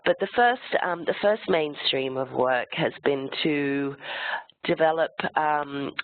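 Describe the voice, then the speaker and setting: A webinar presenter with a cold and a croaky voice, explaining the material step by step, slide by slide. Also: mid-range voice, no room sound. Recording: tinny phone-like line, dry sound.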